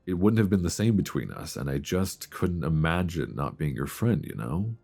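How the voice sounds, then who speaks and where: A man playing a tender, nervous longtime friend confessing love in an intimate ASMR romance roleplay, with a deep voice and hesitant, earnest delivery, close to a binaural mic. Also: very animated, creaky, faint music behind, full character voice. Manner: hesitant, soft, earnest, with sighs and pauses. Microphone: close binaural microphone.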